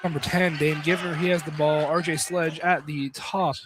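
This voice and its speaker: A man calls a live basketball game for radio. His voice sits a little high, and he delivers the action in short, clipped phrases over slight background noise. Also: level tone, moderate pace. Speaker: play-by-play commentator.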